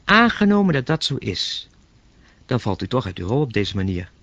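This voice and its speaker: A man's voice: medium pitch, very animated, normal speed, a bit bright, lo-fi sound, slight background noise. A man playing a baffled, persistent telephone caller in a radio play, questioning with irritable, incredulous curiosity. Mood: curious, polite. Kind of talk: radio play acting, conversational.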